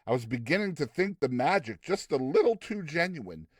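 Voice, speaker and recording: Animated male storyteller, dry sound. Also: on the high side, normal speed, no room sound. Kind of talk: expressive dramatized story narration.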